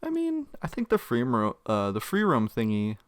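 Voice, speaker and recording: A young man from Minnesota hosting a podcast interview, laid-back and quick to chuckle. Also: medium pitch, huge pitch swings, smooth, no echo, clean studio sound. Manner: laid-back, chuckles often, short backchannel replies. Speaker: podcast host.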